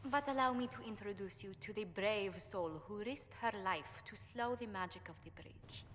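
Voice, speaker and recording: Actress as formal female ambassador, diplomatic, mid-pitched, fantasy game, echoing hall. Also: normal speed, fairly bright tone, a little hiss or hum, close to an everyday voice. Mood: courteous, persuasive. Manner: courteous, formal. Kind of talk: dramatic video game voice acting.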